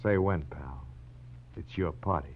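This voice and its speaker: An actor playing a cold, taunting American gangster killer in a 1940s radio crime drama, slangy and sadistic. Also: male voice, low-pitched, slight background noise. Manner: taunting, slangy, unhurried menace. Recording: dull, muffled vintage 1940s radio recording.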